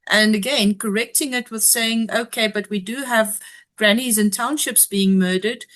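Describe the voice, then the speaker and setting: A woman, a guest giving measured, analytical commentary with frequent ums. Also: fairly high pitch, fairly even intonation, a bit bright. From South Africa. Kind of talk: interview discussion.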